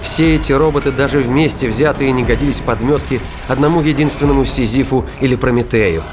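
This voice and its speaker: A man reading scripted narration of a science fiction thriller as a dramatic, deliberate storyteller. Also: medium pitch. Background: music and battle sound effects.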